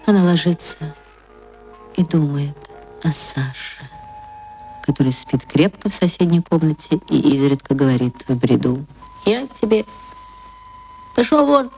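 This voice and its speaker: A woman, an actress, reading a short story aloud in an expressive, tender and dramatic way. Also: low-pitched, moderate pace, long pauses, soft background music. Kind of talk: expressive literary narration.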